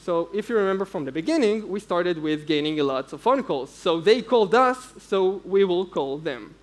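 High-pitched young man presenting a tech talk. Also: lively intonation, moderate pace. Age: young adult. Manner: informal, explaining step by step. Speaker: conference speaker, security researcher. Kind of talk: technical conference presentation. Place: indoor stage at a conference.

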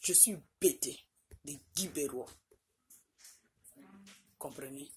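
Insistent low-voiced Ivorian woman. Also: slow pace, husky. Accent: Ivorian French. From Côte d'Ivoire. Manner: insistent, repeats points with "Ok?" tags. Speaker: vlogger. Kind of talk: direct-to-camera personal address. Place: small room indoors. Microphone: phone camera at arm's length.

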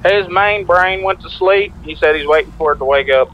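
A man's voice: high voice, moderate pace, highs cut off. A man playing a police role-play game, talking casually and coordinating with other players as if over a radio. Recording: background car and street noise.

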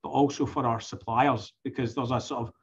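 A man speaks at a conference, walking the audience through slides in a loose, conversational way full of restarts. His voice is slightly husky and sits at an ordinary pitch. The recording sounds dull and lo-fi. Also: fairly even intonation, fairly quick. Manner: conversational with frequent restarts and fillers. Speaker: oil company executive presenting at a conference. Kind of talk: conference presentation.

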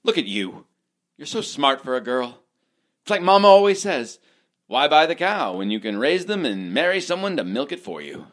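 A man's voice: a little high, big pitch swings, normal speed, fairly bright tone. A man playing a smug, folksy small-town romantic hero with a patronizing, saccharine manner in a parody radio play. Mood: cheerful, smarmy.

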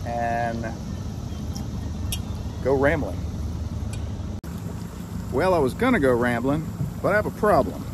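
A middle-aged man with an American accent, chatting casually and easily to the camera as he narrates a solo boating vlog. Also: medium pitch. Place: outdoors on a small open boat on a river. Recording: loud boat engine and outdoor noise.

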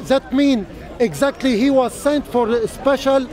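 A man makes his point in a measured way during an outdoor debate, his voice very high. A clear crowd surrounds him in the park. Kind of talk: street debate.

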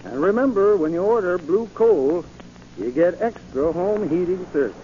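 A folksy spokesman delivers a vintage radio commercial. His voice is mellow and a little high for a man, measured in delivery, over a muffled recording. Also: animated, a little hiss or hum. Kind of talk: 1940s scripted radio commercial.

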